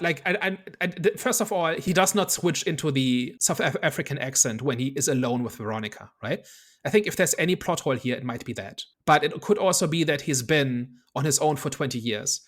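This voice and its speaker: A man's voice: fairly high pitch, brisk, a bit bright. A man hosting a casual movie review podcast, talking things through analytically and hedging as he reasons aloud. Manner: analytical, thinks aloud with hedges. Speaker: podcast host.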